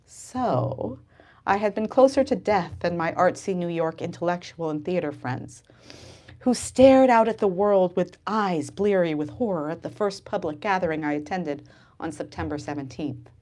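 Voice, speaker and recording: A woman's voice: lowish voice, normal speed, darkish tone. A woman from the United States, an author speaking expressively about her novel in a dramatic, emphatic manner. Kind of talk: expressive literary reading and book talk. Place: live bookstore event.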